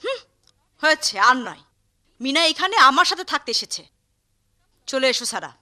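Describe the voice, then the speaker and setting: A woman's voice: high-pitched, very animated, moderate pace, bright, forceful tone, exaggerated voice. A woman voicing a caring but firm, protective aunt in a cartoon, speaking expressively. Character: Mina's caring, firm, middle-class aunt. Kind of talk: cartoon dubbing.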